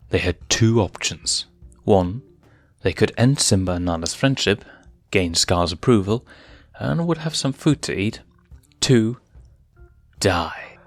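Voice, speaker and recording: A man's voice: low-pitched, no echo. A man narrating, reading a written animal story aloud in a dramatic storytelling style.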